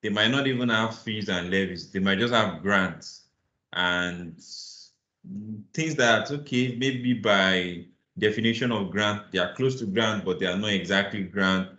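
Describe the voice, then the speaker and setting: A low-pitched man leads an online finance lesson, patient and encouraging as he walks through definitions. His voice comes through a roomy, slightly muffled call line. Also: measured pace, sharp tone. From Nigeria. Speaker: financial modeling instructor. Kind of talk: live online class, explaining and inviting answers. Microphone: video call.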